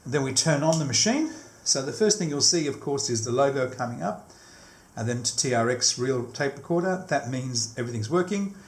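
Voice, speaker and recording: A man's voice: medium pitch, expressive, fairly quick, breathy edge. A man giving a casual hands-on product demonstration, explaining step by step and often rephrasing his instructions.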